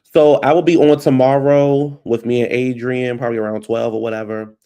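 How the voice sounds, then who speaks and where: A man's voice: mid-range voice, fairly even intonation, brisk, dark tone, clean tone. A man with a stuffed-up nose and a fading voice, chatting casually and rambling as a vlog host at his computer. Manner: rambling, loose and chatty, calls listeners baby. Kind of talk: casual solo chat. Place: at home at a computer.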